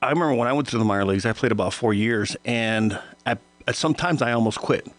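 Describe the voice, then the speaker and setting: A man in his fifties, from Cuba, chatting as a guest on a radio show, relaxed, laughing easily and stammering on his starts. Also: medium pitch, quick pace, no room sound. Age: 50s. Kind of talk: casual radio interview.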